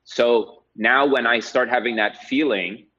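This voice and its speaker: A man's voice: medium pitch, fairly even intonation, measured pace, pushed and bright, slight background noise. A man being interviewed as a podcast guest, talking reflectively and conversationally, often checking in with a "right?". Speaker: podcast guest, real estate agent. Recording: dull, lo-fi sound.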